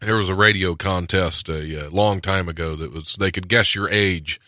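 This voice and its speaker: A man's voice: low voice, fairly even intonation, moderate pace, sharp tone, dry recording, clean studio sound. An American man of about forty hosting a podcast, chatting casually and reading odd news items with joking self-deprecation. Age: around 40. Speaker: podcast host. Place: small studio. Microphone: close studio mic on a stand.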